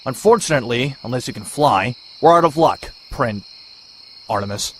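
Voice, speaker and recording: A man playing a brash, sarcastic young male antihero in an animated comic adventure. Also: medium pitch, moderate pace, breathy edge. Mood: exasperated banter. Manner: sarcastic, sardonic.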